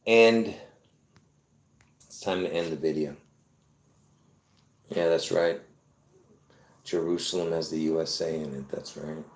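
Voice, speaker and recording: A man from Lebanon hosting his own video, talking excitedly to viewers in a rambling, repetitive way with bursts of laughter. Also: a bass voice, slow pace, pauses often, roomy sound. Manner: excitable, repeats himself, laughs between points. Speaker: video host. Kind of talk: rambling casual monologue to viewers.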